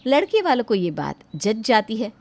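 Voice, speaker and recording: A woman's voice: on the high side, sing-song, moderate pace, darkish tone. A woman narrator telling a scripted domestic moral tale in a dramatic storytelling style.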